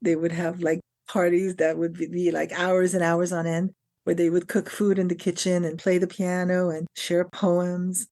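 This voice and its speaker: A middle-aged woman, her voice sitting a little low. She talks in an articulate, emphatic way, stacking phrase upon phrase as she explains her craft on a podcast. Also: flat delivery, normal speed, warm tone. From France. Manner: articulate and emphatic, builds long lists of phrases. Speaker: guest, acting teacher and film director. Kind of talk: podcast interview.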